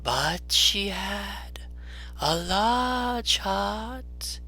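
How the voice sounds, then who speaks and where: A man voicing a gentle, ethereal ghost with a soft, breathy, light voice and very slow, measured delivery in a storybook reading. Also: high-pitched, expressive, faint music behind, voice pushed up, exaggerated voice. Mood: solemn, wistful. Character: gentle, ethereal ghost spirit speaking slowly and softly.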